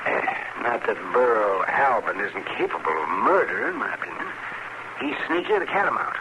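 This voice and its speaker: An actor playing a crotchety older frontier town doctor with an American accent, fussy, blunt and folksy in an old radio western. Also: male voice, mid-range voice, huge pitch swings, moderate pace, vocal fry. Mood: blunt, skeptical. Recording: noisy old radio drama recording.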